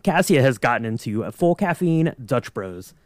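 A man's voice: medium pitch, sing-song, moderate pace, dry recording, studio quality. A man co-hosting a podcast in casual, joking chat. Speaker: podcast co-host.

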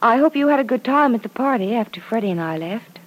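Quick, husky, proud radio-drama woman. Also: a little high, lively intonation, poor audio. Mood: cool, guarded. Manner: curt, clipped, pointed. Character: proud, hurt young woman, curt and guarded. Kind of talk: old-time radio drama acting.

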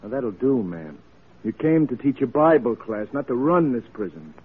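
An actor playing a stubborn, dismissive prison jailer in an old-time radio drama, with a brusque, colloquial way of talking. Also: male voice, medium pitch, sing-song, moderate pace, breathy edge. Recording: muffled, noisy vintage radio recording.

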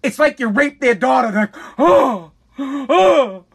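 A man hosting a podcast with a hoarse, worn-out voice, delivering a bombastic comedic rant full of stammers and repeated words. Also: extremely high pitch, expressive, slow pace. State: hoarse, lost voice. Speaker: podcast host.

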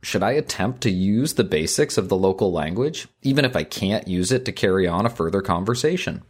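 A man's voice: sits low, animated, brisk, breathy edge. A man co-hosting an etiquette advice podcast, talking things through thoughtfully and reading a listener letter aloud. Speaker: podcast co-host.

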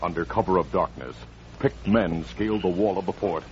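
A man's voice: low-pitched, normal speed. A man narrating a western adventure in a dramatic, scripted 1940s radio style. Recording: muffled old radio recording with music.

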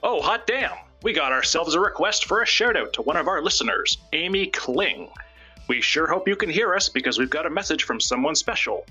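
Man doing a bright, manic, folksy radio host. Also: fairly high pitch, expressive, fairly quick, slightly rough, soft background music, pitched above the performer's own voice, heavily acted. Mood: cheerfully macabre. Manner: showman-like, gleefully macabre. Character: folksy, manically cheerful radio personality of a sinister broadcast. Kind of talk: old-time radio host patter read dramatically.

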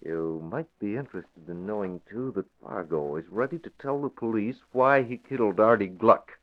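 A man playing a masked vigilante with a fairly low, hard voice who gives clipped, menacing orders. He sounds like a 1940s radio drama, heard through a dull, muffled old recording. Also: normal speed, close to an everyday voice. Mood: stern. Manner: curt, menacing, commanding.

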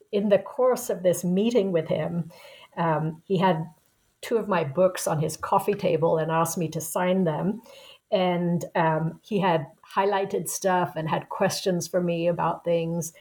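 A woman in her sixties with a fairly low voice speaks as an interview guest. She recounts her path in a thoughtful, unhurried way, like a professor looking back on her work. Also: darkish tone. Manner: reflective, unhurried storytelling. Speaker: guest author, anthropology professor. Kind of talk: conversational interview answer.

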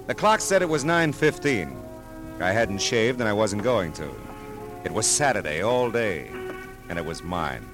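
An American actor playing a tough, world-weary private detective in 1950s radio noir, wry and hard-boiled in delivery. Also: male voice, fairly low pitch. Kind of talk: 1950s radio noir acting, first-person narration.

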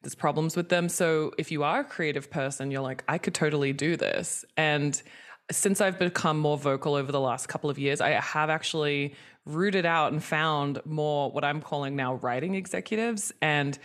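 A woman's voice: deep voice, moderate pace. A woman from Hong Kong and Australia speaking candidly and casually as an interview guest, with a chatty, informal way of talking. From Australian who grew up in Hong Kong. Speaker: podcast guest, entertainment executive and writer.

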